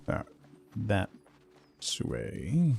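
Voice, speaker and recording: A man's voice: lowish voice, huge pitch swings, drawn-out words, creaky, warm tone, husky. A man chatting casually as he streams a game, thinking aloud in short fragments. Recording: music behind the voice. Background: music.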